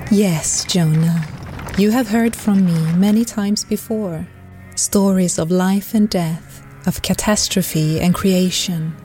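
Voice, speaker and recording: Woman playing an otherworldly narrator, unhurried, lowish pitch, knowing, dry wit, audio drama, faint music. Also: warm tone. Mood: calm, commanding. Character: unearthly storyteller who speaks as a divine voice to prophets.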